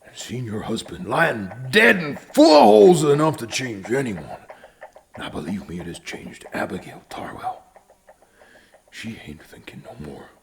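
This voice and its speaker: An actor playing a stern but fatherly, world-weary sheriff in a western audio drama, with an American Southern drawl and a deliberate, folksy manner. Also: huge pitch swings, gravelly, crowd noise, exaggerated voice. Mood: grave, stern. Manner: deliberate, folksy, swinging from hushed to booming.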